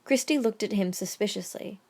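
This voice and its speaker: A woman's voice: mid-range voice, a little fast, darkish tone. A woman reading scripted third-person story narration as the narrator of an audio drama.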